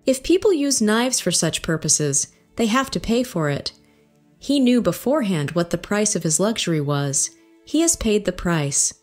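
A man voicing a stern, coolly guarded woman who keeps a wine shop, curt and quietly menacing. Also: high voice, sing-song, normal speed, faint music behind, voice pushed up.

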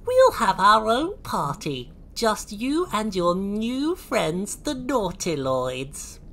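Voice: medium pitch, huge pitch swings, slow, fairly bright tone, a little hiss or hum, pitched above the performer's own voice, heavily acted. A storyteller voicing a small, naughty male nautiloid, drawing out words in sly, falsely sympathetic wheedling for a children's story. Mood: coaxing.

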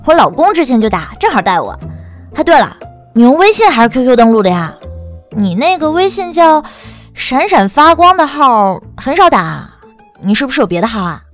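A woman playing a bubbly, high-pitched nosy best friend. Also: normal speed, faint music behind. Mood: excited, gushing. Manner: excitable, teasing, wheedling, exclamatory. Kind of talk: audiobook drama acting.

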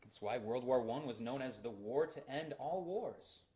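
A man's voice: medium pitch, lively intonation, moderate pace, mellow. A young man with an American accent preaching a sermon in a small church, earnest and emphatic, gesturing as he speaks. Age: young adult. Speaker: pastor. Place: small church sanctuary, indoors. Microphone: fixed camera at the front of the church.

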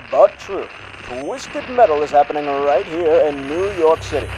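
A man acts an excitable TV news anchor in a comic drama. His voice is high for a man and swoops in a sensationalist delivery, with music behind him. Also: rough recording. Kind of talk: mock TV news broadcast.

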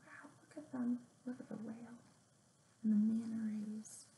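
A young woman leading a story time for small children, reading aloud and giving simple instructions in a measured, deliberate way. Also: on the high side, steady tone, vocal fry, background noise. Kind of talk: picture-book reading and finger plays for young children. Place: small room indoors at home or office. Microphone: webcam or laptop mic at arm's length. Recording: roomy, echoing webcam sound.

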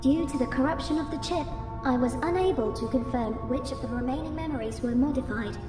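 A woman voicing an emotionless medical AI in a radio drama, measured and formal, with an echoing computer effect. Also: high-pitched. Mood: impersonal, clinical. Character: clinical, emotionless female medical AI. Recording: echoing long reverb, faint music.

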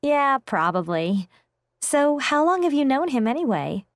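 An actress playing an interested friend in a scripted language-course dialogue. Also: female voice, high voice, sing-song, a little slow, dry recording, clean studio sound, exaggerated voice. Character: interested female friend asking questions.

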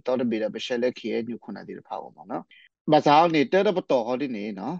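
Creaky, mellow man in muffled audio. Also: mid-range voice, expressive, racing, dry recording. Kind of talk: spontaneous spoken commentary on radio. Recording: slightly muffled shortwave radio programme audio.

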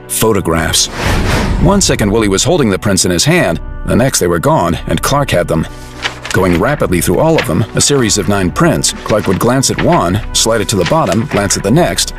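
A man reading scripted narration for a dramatized audiobook, as storyteller. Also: fairly low pitch.

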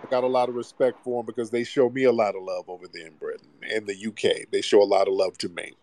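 A man's voice: fairly low pitch, steady tone, fast, crowd noise. A man hosting a live call-in stream, teasing and mocking his callers in loose, rambling chat. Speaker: livestream host taking callers.

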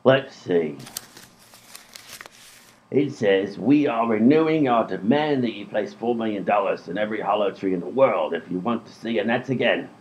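A man as a low, blustering dim-witted robber. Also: expressive, normal speed, natural voice. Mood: weary, blunt. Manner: blunt, colloquial. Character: dim, blustering male robber sidekick, easily exasperated. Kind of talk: comedy radio play acting. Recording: dull lo-fi audio, music behind.

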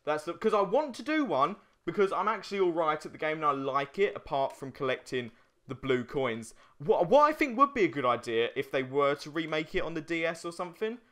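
A man's voice: a little high, sing-song, fairly quick, a bit bright. A man co-hosting a let's play, giving chatty, casual gaming commentary with some swearing.

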